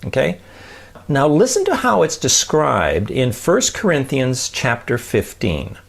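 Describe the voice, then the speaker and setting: A man's voice: mid-range voice, wildly swooping pitch, unhurried, gravelly, slightly rough. A man hosting a podcast, giving an emphatic, informal, conversational lesson, stressing key words and checking in with the listener. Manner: emphatic, with rhetorical check-ins like "right?" and "okay?". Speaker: podcast host explaining science and faith. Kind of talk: conversational teaching talk.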